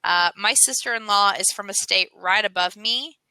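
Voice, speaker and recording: A woman's voice: medium pitch, normal speed, pushed and bright, smooth, no echo, rough recording. A woman with a Southern American accent, tutoring English over a video call in a friendly, chatty way that often breaks into laughs. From Southern United States. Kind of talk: casual video-call lesson.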